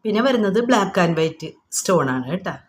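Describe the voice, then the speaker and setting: A woman's voice: medium pitch, expressive, a little slow, a little hiss or hum. A woman with a Kerala accent informally showing products item by item, explaining each and repeating prices in an unscripted, repetitive way.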